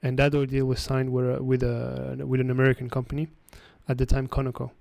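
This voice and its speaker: A man from France, a guest analyst giving explanatory interview answers, halting with frequent restarts. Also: mid-range voice, completely flat delivery, gravelly, a little mellow, no room sound.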